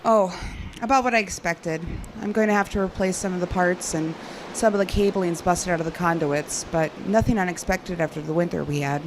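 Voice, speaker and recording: A woman's voice: lowish voice, a little fast, background noise, natural voice. An actress playing a curious, teasing woman working as a field technician in a naturalistic horror audio drama. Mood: playful, at ease. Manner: playful, inquisitive, teasing.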